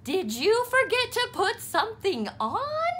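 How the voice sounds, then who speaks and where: A woman's voice: extremely high pitch, huge pitch swings, a little slow, a bit bright, pitched above the performer's own voice, full character voice. A woman voicing a firm mother frog in a children's storybook, calling out to her child in sing-song questions. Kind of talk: children's storybook read-aloud.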